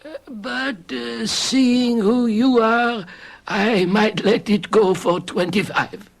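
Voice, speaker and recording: An actor playing a nervous, evasive old shopkeeper, halting and full of fillers, in a radio drama. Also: male voice, high-pitched, vocal fry, heavily acted. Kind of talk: 1970s radio drama acting.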